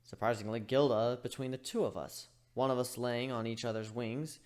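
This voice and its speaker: A man giving an expressive amateur dramatic reading of a story. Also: medium pitch, normal speed. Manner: animated, expressive delivery. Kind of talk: dramatic reading of fan fiction.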